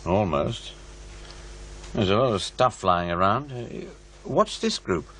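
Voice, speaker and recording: An actor playing a dry, skeptical, busy paranormal researcher in naturalistic radio drama dialogue. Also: male voice, lowish voice, very animated, slow pace, a bit bright, a little hiss or hum, exaggerated voice. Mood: dry skepticism. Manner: dry, questioning, unimpressed.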